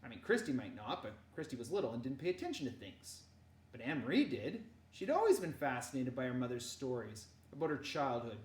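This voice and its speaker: A woman reading a children's novel aloud in an animated storyteller's way, dramatizing the dialogue. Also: very deep voice, brisk. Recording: roomy echo.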